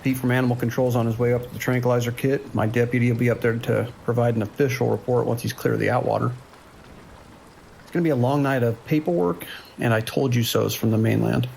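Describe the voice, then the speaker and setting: A male actor as a steady sheriff's dispatcher on a long night shift. He has an American accent and a creaky, mid-pitched voice. His delivery is measured and tired, by the book, with faint rainy noise behind him. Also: lightly acted, rough recording. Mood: weary, dry. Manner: measured, procedural, hesitant pauses. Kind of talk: naturalistic audio drama acting.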